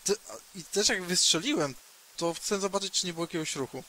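A man playing a tabletop roleplaying game, haltingly talking through his plans and repeating words as he goes. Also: high voice, bright, forceful tone, slight background noise. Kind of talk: improvised in-game discussion.

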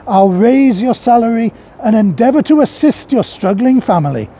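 A man's voice: high voice, warm tone, full character voice. A man voicing an elderly reformed miser, coaxing and then jovial. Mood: jubilant. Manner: coaxing, then mock-gruff, then beaming. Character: miserly old man turned jolly, eager.

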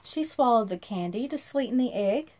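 A woman in her thirties reading a rhyming storybook aloud to children in an expressive, sing-song way, recorded in a room at about arm's length from the device. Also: fairly high pitch, normal speed. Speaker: children's read-aloud host. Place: small indoor room.

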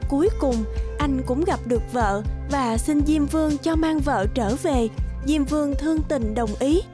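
A woman's voice: high-pitched, measured pace. Woman narrating a fairy tale over music. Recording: clear music under the voice.

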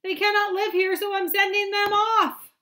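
A woman reading a picture book aloud, voicing a small grumpy old male forest creature who coughs and scolds slowly. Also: extremely high pitch, flat delivery, bright, forceful tone, pitched above the performer's own voice. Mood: mournful. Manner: scolding, sniffling, slow and drawn out.

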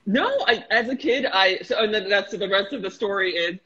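A woman's voice: medium pitch, fairly quick, sharp tone, breathy edge, dull audio. A woman in her thirties, born in the former Soviet Union with Russian as her first language, chatting animatedly as an interview guest over a video call, with lots of fillers and restarts. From former Soviet Union, raised in Chicago. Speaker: guest, marketing entrepreneur. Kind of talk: casual interview chat. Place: small room with a glass wall, indoors. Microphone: laptop webcam on a video call.

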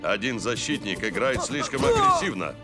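An actor playing a stern, level-headed middle-aged soccer coach in a cartoon dub. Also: male voice, mid-range voice, huge pitch swings, normal speed. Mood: serious, concerned. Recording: background music under the voice.

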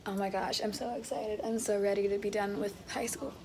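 A teenage girl chatting casually to viewers in a vlog, her voice a bit raspy, filmed on a phone held close in a small bedroom. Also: medium pitch, fairly even intonation, a little fast, background noise. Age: late teens. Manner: chatty, rambling, addresses viewers as guys. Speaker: vlogger. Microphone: phone held close at arm's length.

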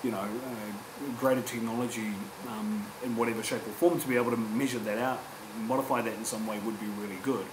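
A New Zealand man in his forties speaking on a public panel, earnest and halting, with frequent restarts. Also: fairly low pitch, fairly even intonation. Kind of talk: panel discussion. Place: university lecture room. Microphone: table microphone, distant. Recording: echoey room with background hum.

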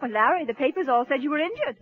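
A muffled vintage radio recording of a very high, expressive female voice. She is a proper small-town wife, concerned and puzzled in 1940s drama style. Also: racing.

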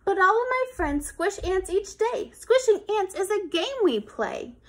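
A woman's voice: extremely high pitch, sing-song, measured pace, fairly bright tone, voice pushed up, exaggerated voice. A woman voicing a big, bossy boy in a rhyming storybook, boastful and sing-song. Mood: self-justifying. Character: big bossy boy taunting a tiny ant.

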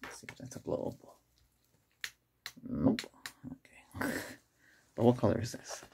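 Middle-aged man, soft ASMR exam roleplay, gravelly and breathy, unhurried, mid pitch with lively movement. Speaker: ASMR performer playing an ER doctor examining a patient. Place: small indoor setup with cloth backdrop.

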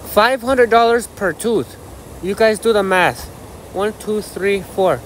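A man's voice: high voice, slow pace, a bit bright. An American man in his thirties vlogging casually into his phone, with a slangy, profane, boastful way of talking. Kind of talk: casual rambling phone vlog. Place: outdoors on a dirt path by a canal. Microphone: phone held at arm's length. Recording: outdoor ambient noise on phone.

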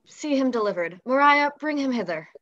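Noblewoman for a Shakespeare comedy, played by a woman: dignified, fairly high voice, slightly muffled call audio. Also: expressive, normal speed, a bit bright, no room sound. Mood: brisk, commanding. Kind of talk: live table read of Shakespearean verse drama. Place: indoor room, video call. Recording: dull video-call audio.

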